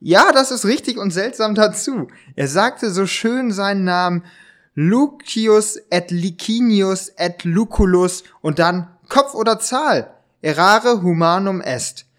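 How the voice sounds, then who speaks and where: Ein Mann spielt einen hoch klingenden, aufgeregten Schriftsteller. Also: male voice, sits high, fairly bright tone. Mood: earnest. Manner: halting, stumbling over lines. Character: polite, agitated male writer robbed of his parrot. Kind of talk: amateur German detective radio play.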